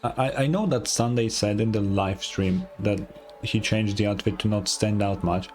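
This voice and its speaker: A man's voice: on the low side, normal speed, a little mellow, faint music behind. A man giving casual, informal live game commentary as a streamer, often incredulous and sweary.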